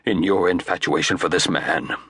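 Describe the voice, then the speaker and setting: A man voices a stern, grandiloquent gray-haired uncle who lectures slowly. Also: deep voice, fairly bright tone, husky, dry recording, studio quality, pitched below the performer's own voice.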